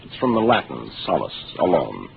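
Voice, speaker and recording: An actor playing a calm, seasoned starship captain who speaks in a measured, authoritative way, in a 1950s radio drama. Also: male voice, rumbling low, creaky, full character voice. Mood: explaining. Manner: measured, authoritative, explaining patiently. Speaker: ship captain. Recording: hissy narrow 1950s radio broadcast.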